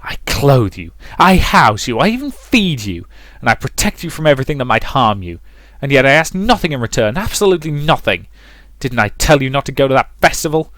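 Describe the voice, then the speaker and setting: A man's voice: mid-range voice, sing-song, brisk, few pauses, fairly bright tone, no echo, pitched above the performer's own voice. A man voicing a harsh, controlling mother who scolds and accuses in a visual novel reading. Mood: furious.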